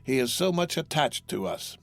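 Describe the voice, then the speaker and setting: A man voicing a mild, humble old father in a storybook, with a halting, tender manner. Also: mid-range voice, lively intonation, vocal fry, faint music behind. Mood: gentle.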